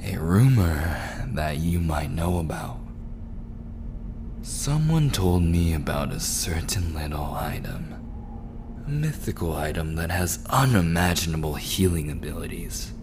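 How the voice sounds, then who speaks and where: A smug mafia prince, acted out by a man, speaks in a very low voice at a slow, deliberate pace. He is menacing yet coolly charming, sliding into breathy, possessive murmurs as in an immersive roleplay drama. Clear background noise sits behind him. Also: animated. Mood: menacing, coaxing. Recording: clearly noisy background ambience.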